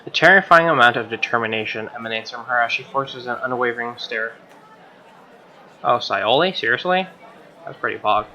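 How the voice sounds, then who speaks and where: Bright-voiced young man streaming. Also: medium pitch, expressive, fairly quick, lo-fi sound, a little hiss or hum. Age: young adult. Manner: slangy, offhand. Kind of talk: reading game text aloud with casual commentary.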